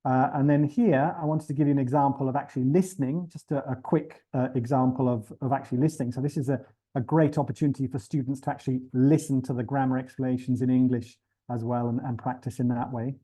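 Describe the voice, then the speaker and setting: A man giving an unscripted webinar presentation for teachers, pausing often with fillers and restarting his sentences. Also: mid-range voice, fairly even intonation, warm tone. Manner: hesitant, many fillers and restarts.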